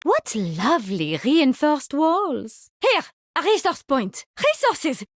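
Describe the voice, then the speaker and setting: Game hero: playful, morbid French mushroom scientist, female, French accent, high register, very lively intonation, wry and theatrical. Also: slow, dry recording, clean studio sound, exaggerated voice. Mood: gleeful. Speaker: video game character voice lines. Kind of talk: video game hero barks.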